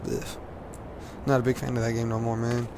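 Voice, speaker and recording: A hoarse teenage man from Louisiana, rambling casually with lots of fillers and laughs while commenting on a game at a desk mic. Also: medium pitch, flat delivery, brisk, noisy background. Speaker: gaming commentator.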